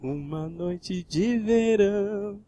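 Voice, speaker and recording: A man's voice: high-pitched, takes it slowly, a crowd in the background. A man with a Brazilian accent hosting a comedy podcast in casual chat.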